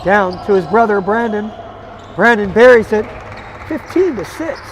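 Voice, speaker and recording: A hoarse man calling a live basketball game play by play, energetic and chatty, climbing into excited calls. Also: high-pitched, measured pace, a little hiss or hum, poor audio. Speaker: play-by-play commentator. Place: arena broadcast position.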